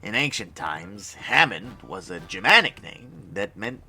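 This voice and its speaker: An actor playing an excitable, fatherly inventor in an audio drama, chatty and exclamatory, swinging between excitement and tenderness. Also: fairly low pitch, a little slow, vocal fry, breathy edge, exaggerated voice. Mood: fond, wistful. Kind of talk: cinematic audio drama acting.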